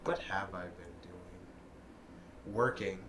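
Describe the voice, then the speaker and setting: Young male co-host, slow and halting, relaxed casual talk. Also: low voice. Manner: halting and hesitant, many fillers and restarts. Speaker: podcast co-host and video editor. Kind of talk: casual chat.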